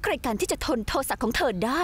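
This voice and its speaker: A woman's voice: fast, close to an everyday voice. An actress dubbing a spiteful young woman who taunts a rival with sarcasm in a TV drama. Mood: taunting. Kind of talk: dubbed TV drama.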